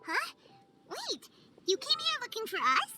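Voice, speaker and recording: A tiny, chirpy fairy sidekick bubbles along in a squeaky, childlike game-character voice. The pitch is extremely high and swoops with excitement, exclaiming freely. Loud music plays behind. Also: female voice, a little slow, exaggerated voice. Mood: curious, surprised. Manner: speaks of self in third person, exclamatory. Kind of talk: animated game cutscene voice acting.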